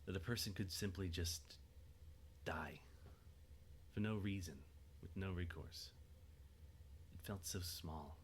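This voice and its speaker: A low-voiced man narrates a story from a script. He reads as the narrator of an audio drama, with faint noise in the recording. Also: slow pace, pauses often.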